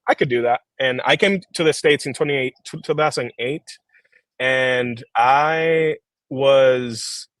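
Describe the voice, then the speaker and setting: Guy in his 30s on a video call, relaxed halting chat, lots of ums, dry sound. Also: mid-range voice, no room sound. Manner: relaxed, halting, many fillers and restarts; switches between English and Spanish. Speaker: founder and creative director, guest. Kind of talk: casual interview chat.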